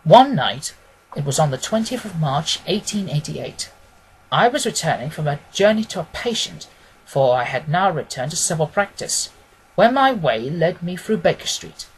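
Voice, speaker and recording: A man narrating a classic detective story as an audiobook, reading in a measured, formal, expressive way. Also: a little high, a little hiss or hum, rough recording.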